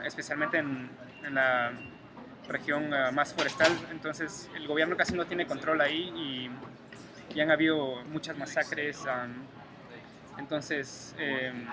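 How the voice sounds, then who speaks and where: A man's voice: on the high side, steady tone, unhurried, a crowd in the background. A young man from Guatemala with a Guatemalan Spanish accent, answering interview questions thoughtfully with many hesitations. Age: 20s. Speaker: interviewee, graduate student. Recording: noisy room ambience.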